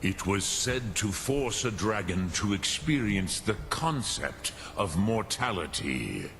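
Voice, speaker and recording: A man playing an ancient, wise male dragon in a fantasy video game, speaking in a solemn, measured, contemplative manner. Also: sits low, gravelly, noisy background, full character voice. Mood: grave, regretful. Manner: measured, solemn, philosophical, weighing each phrase. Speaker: mentor, master of an order. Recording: game music behind the voice.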